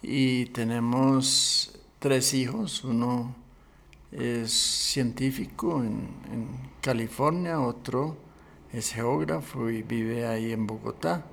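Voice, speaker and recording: An older man, sixties or above, telling his story as a pastor and interview guest in a measured, deliberate way with frequent pauses. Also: medium pitch, vocal fry, fairly bright tone. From Colombia. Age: 60s or older.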